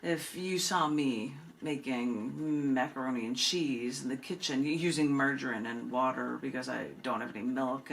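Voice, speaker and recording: A woman reading spoken-word poetry in measured, deliberate phrases with dramatic pauses. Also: very deep voice, fairly bright tone, slight background noise. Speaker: poet reading own work, host of the reading.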